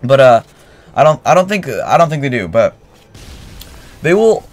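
A man's voice: medium pitch, very animated, normal speed. A young man with a cold, casually telling a story while rambling with fillers and correcting himself. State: getting a cold, clears throat. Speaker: gaming channel host. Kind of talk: casual storytelling over gameplay. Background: faint game gunfire.

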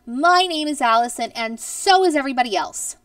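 A woman's voice: high-pitched, animated, brisk, a bit bright, no room sound, clean studio sound, recorded from a distance. A woman voicing earnest, protesting schoolchildren in a storybook, plainly and insistently.

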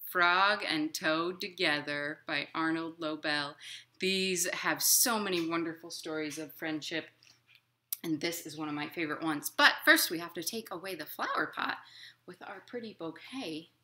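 A woman in her thirties hosting a storytime for young children at home, expressive and encouraging in simple words. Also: deep voice, measured pace. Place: living room at home.

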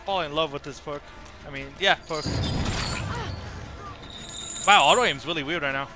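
A man's voice: on the high side, expressive, normal speed, over music. A man doing casual live gameplay commentary as a streamer, rambling and thinking aloud. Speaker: video game streamer.

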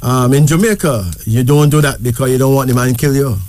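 Mellow-voiced Barbadian man reading cards. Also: medium pitch, brisk. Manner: chatty, reassuring, tag questions. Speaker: card reader. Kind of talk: casual card reading chat.

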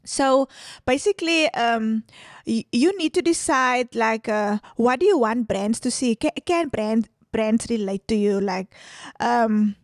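Brief: woman, 30s, Afrikaans accent, bit high-pitched, candid podcast guest, dry studio sound. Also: unhurried, no room sound. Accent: South African, Afrikaans. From South Africa. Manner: candid, animated, mixes in Afrikaans. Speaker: guest influencer. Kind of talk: casual podcast interview. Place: small studio set with sofa. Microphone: boom mic on stand.

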